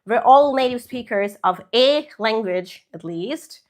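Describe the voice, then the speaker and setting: A woman's voice: a little high, a little slow. Chatty woman teaching. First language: Hindi. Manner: chatty, animated, frequent asides and tag questions. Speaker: English teacher. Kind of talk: live stream English lesson.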